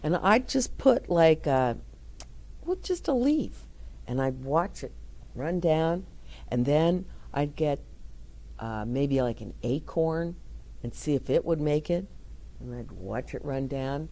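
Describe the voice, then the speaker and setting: A middle-aged woman reading a picture book aloud and chatting gently and encouragingly to young children. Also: deep voice, expressive, slow. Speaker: children's storyteller. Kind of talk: read-aloud story time with chat to children.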